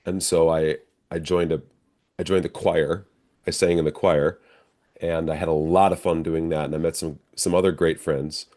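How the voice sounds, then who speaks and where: Deep-voiced American man, reflective. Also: flat delivery, normal speed, mellow. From northern Minnesota, United States. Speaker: interview guest, professional stage actor. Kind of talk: conversational interview answers.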